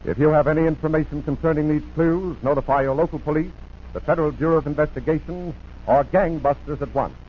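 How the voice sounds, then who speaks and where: A man's voice: fairly high pitch, quick pace, muffled recording, slight background noise. An American man working as a program announcer in formal, emphatic 1940s radio style, on an old broadcast recording.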